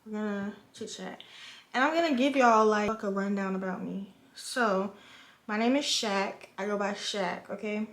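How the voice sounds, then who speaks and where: A woman's voice: medium pitch, moderate pace, a bit bright, roomy sound. A woman in her twenties from the Virgin Islands, chatting frankly like a big sister while doing her makeup at a home vanity. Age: 20s. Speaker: vlogger.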